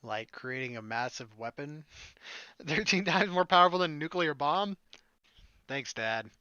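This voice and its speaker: A man's voice: on the high side, expressive, unhurried, bright, forceful tone, smooth, no echo, muffled recording. A man doing let's play commentary on a game, reading narration aloud and adding jokey, sarcastic asides. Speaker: gaming let's player.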